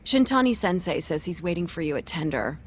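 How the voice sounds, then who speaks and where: A woman playing a reserved, polite law office worker in a video game dub, with clipped, deadpan delivery. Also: lowish voice, brisk, slight background noise.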